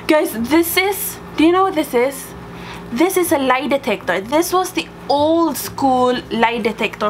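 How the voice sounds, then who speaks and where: A young woman from Sweden talks casually to her viewers in a high-pitched voice. She is playful and slangy, given to exclamations. There is audible noise behind her. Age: young adult. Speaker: vlogger showing childhood belongings. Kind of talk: casual chat to camera.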